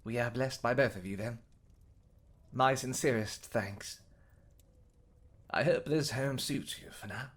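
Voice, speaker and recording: Deferential, jittery nobleman, done by a man; higher voice, husky, stammering with awe. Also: unhurried, pauses often, fairly bright tone. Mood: awed. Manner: stammering, awed, formal. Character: nervous, deferential male nobleman.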